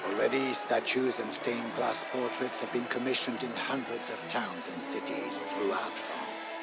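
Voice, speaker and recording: A weary medieval French knight narrates his war journal for a game. His voice is low and gravelly, and he speaks slowly and solemnly, with theatrical weight and hushed phrase endings. Loud music plays behind him. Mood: solemn, proud. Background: prominent music.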